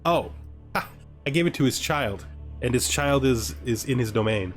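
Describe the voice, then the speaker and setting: A man's voice: medium pitch, lively intonation, normal speed, faint music behind. A man giving casual gameplay commentary, thinking aloud and often muttering questions and then answering them himself. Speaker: gamer narrating a let's play.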